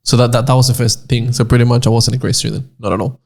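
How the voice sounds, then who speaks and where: Gravelly-voiced man, from Nicaragua. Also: mid-range voice, little pitch movement, fast, a little mellow. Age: 20s. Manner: rambling, repeats words while searching for them. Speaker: podcast co-host. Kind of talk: casual chat.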